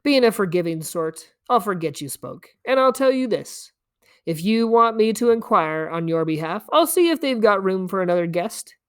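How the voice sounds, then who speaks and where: A woman's voice: medium pitch, lively intonation, normal speed, clear, resonant tone, close to an everyday voice. A woman voicing a scrawny, grinning male stranger in a storybook, teasing and coaxing in a theatrical way. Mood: sly, enticing. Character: scrawny, grinning, wheedling stranger man, fast-talking trickster.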